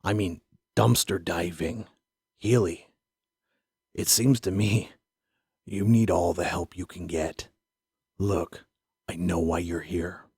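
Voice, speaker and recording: A man's voice: low-pitched, steady tone, slow pace, pauses often, a little mellow, breathy edge, no room sound, studio quality, exaggerated voice. A man voicing a stern, weary police officer who lectures and warns in short, pointed sentences in an audio drama. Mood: stern warning.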